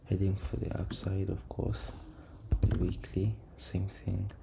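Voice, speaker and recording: A man with a low, creaky voice gives casual, hesitant, low-energy commentary as he works through a trading screen, repeating words as he thinks aloud. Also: flat delivery, unhurried. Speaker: trader recording a backtesting session. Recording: noisy room, keyboard and typing sounds.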